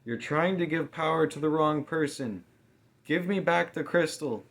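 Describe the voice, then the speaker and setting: A man's voice: fairly high pitch, moderate pace, fairly bright tone, voice pushed up. A man voicing a stubborn young girl heroine in an audiobook, pleading with breathless, desperate defiance. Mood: defiant yelling. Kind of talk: audiobook character dialogue.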